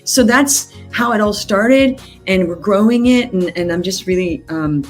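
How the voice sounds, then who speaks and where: A woman's voice: mid-range voice, unhurried, soft background music. A woman in her fifties from the United States and Australia, telling her story conversationally as a business coach being interviewed. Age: 50s. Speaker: business coach, podcast guest.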